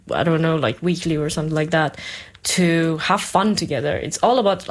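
A woman from Iran speaks as a guest in a casual interview. Her voice sits a little low. She talks in a chatty, informal way, often dropping "like" into her sentences. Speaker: guest, community theater performer.

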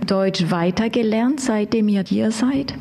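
A woman's voice: mid-range voice, a little slow, vocal fry, mellow. A woman interviewer putting prepared questions to her guests in a conversational way.

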